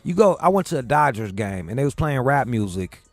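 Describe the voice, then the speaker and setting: A man's voice: mid-range voice, big pitch swings, brisk, dry recording. A man from Michigan hosting a radio show, chatting in loose, profane, laid-back banter. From Pontiac, Michigan. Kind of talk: casual radio interview chat. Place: radio studio.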